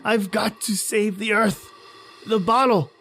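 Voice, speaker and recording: A man playing a desperate, grieving man in an old-time horror radio drama, overwrought and halting. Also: high voice, fairly even intonation, fairly bright tone, a little hiss or hum. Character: anguished desperate man, grieving, defiant against a monster.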